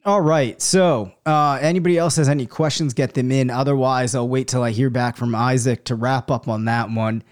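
Hoarse man hosting a sports podcast. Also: mid-range voice, fairly even intonation, moderate pace, hardly any pauses. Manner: thinks aloud, many fillers and hedges. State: hoarse, coming down with a cold. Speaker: fantasy football podcast host. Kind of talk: live solo Q&A, answering listener questions.

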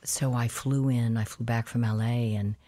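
Woman, 50s, very low deep voice; candid, animated interview guest. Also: moderate pace. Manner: candid, animated storytelling with quoted dialogue. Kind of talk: conversational interview.

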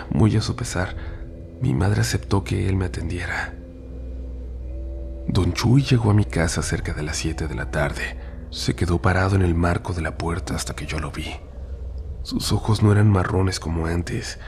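A hoarse man hosting a horror story podcast, reading tales in a hushed, half-whispered voice. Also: low-pitched, noisy background. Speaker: podcast host.

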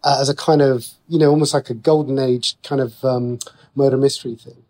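A man's voice: medium pitch, fairly even intonation, a little fast, a little mellow. A man with a British accent talking as a guest writer in a conversational interview, explaining things in a chatty way.

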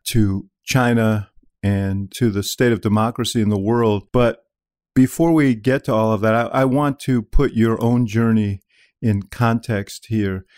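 An American man hosting an interview podcast, speaking in a measured, earnest way. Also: lowish voice, steady tone, darkish tone. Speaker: podcast host.

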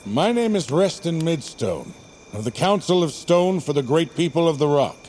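An actor in an audio drama playing a stern but honorable guard captain, formal and authoritative. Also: male voice, on the high side, very animated, moderate pace, darkish tone, a little hiss or hum. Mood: stern, questioning.

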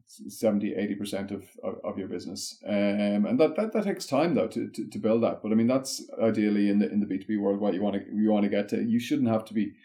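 Low-pitched Irish man chatting. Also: warm tone. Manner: discursive, halting explanations peppered with "like" and "you know". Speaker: interview guest, content marketing consultant. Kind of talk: casual interview conversation.

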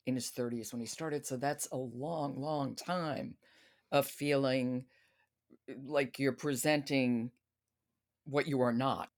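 Very low-voiced woman hosting a podcast. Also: fairly even intonation, slow pace, darkish tone. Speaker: podcast host. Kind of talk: conversational interview.

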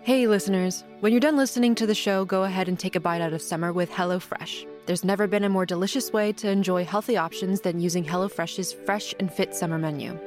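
A woman's voice: fairly low pitch, fast, hardly any pauses, faint music behind. A woman reading a scripted podcast advertisement to listeners. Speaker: ad reader.

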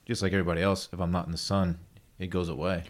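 A man's voice: low-pitched, racing. A man hosting a casual podcast, telling stories with eager, jokey energy. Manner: animated storyteller, eager and jokey. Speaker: podcast host.

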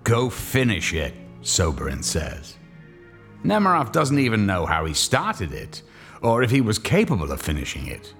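A man narrating a radio drama with dramatic storytelling. His voice sits low but rises and falls very widely in pitch. Faint music plays beneath him. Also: huge pitch swings, normal speed, fairly bright tone.

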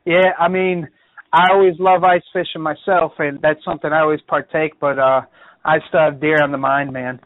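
A man's voice: on the high side, flat delivery, normal speed, no room sound. A man calling into a hunting radio show, chatting casually and laughing often. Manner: laid-back, laughs often, says "man" a lot. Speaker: caller. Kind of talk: casual call-in chat. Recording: tinny phone line, dry.

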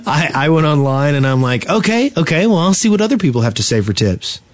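A man's voice: on the high side, big pitch swings, fairly quick, no echo. A man co-hosting a podcast in a casual, chatty group conversation. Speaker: podcast co-host. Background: music.

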